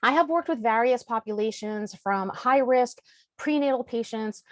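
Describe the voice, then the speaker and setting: A woman's voice: a little high, normal speed, dry recording. Woman presenting a webinar, dry sound. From United States. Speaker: registered dietitian and webinar host.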